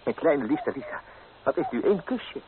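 An actor playing an impetuous, romantic young student lover, coaxing and dramatic, in a radio play. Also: male voice, on the high side, expressive, moderate pace, close to an everyday voice. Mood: coaxing, teasing. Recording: tinny, noisy old radio play.

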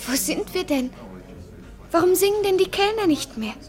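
A woman's voice: very high voice, animated, normal speed, darkish tone. An actress playing a naive, tipsy, wistful young woman in a radio play, speaking in a plaintive, searching manner.

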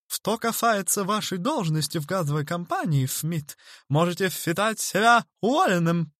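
Man doing a shrill, cowardly pompous whiner. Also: expressive, normal speed, a bit bright, no echo, studio quality. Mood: indignant, pompous. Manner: shrill, spluttering, indignant.

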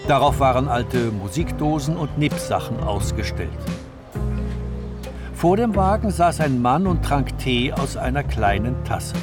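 A man narrating a radio drama from a script in a measured, descriptive manner. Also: mid-range voice, animated, over music.

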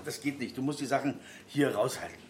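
Actor as worried male bar owner, weary, caring, mid-range voice, naturalistic radio drama, echoey room, loud clatter. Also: mid-range voice, brisk, noisy background, natural voice. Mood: worried, reproachful.

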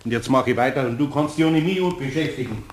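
An actor playing a kindly, patient older craftsman with a Bavarian accent in a children's radio play, homely and gently firm. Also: mid-range voice, quick pace, close to an everyday voice. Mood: firm warning. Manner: homely, indulgent, cautioning.

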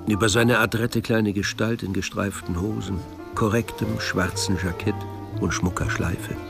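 A man narrating a radio drama, telling the story in a measured, precise literary style. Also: on the low side, gravelly. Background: music.

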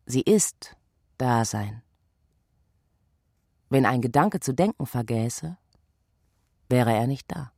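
Pensive poetic radio-play voice: low, husky, intimate, very dry and close, light music beneath. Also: female voice, expressive, takes it slowly, pauses often, dark tone, no room sound, full character voice. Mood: pensive, hushed.

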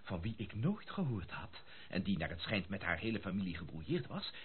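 An actor playing an excitable, effusive young gentleman heir in a radio drama, exclaiming and stammering when agitated. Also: low-pitched, fairly quick, a little hiss or hum. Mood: excited, astonished. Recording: muffled lo-fi radio play recording.

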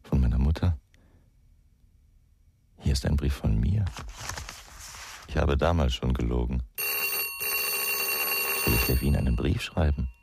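A brooding former policeman in a radio drama. His voice is extremely low and gravelly as he mutters introspectively, over faint noise. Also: a little slow, long pauses, exaggerated voice. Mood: wistful. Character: brooding male ex-policeman sorting old family letters. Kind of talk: introspective radio play monologue. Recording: noisy background.

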